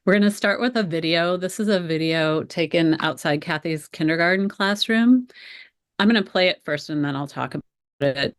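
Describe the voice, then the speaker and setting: A middle-aged American woman with a low-pitched voice leads a webinar for fellow teachers. She explains ideas conversationally, with informal asides to the viewers. The sound is dry, with very little room echo. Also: moderate pace.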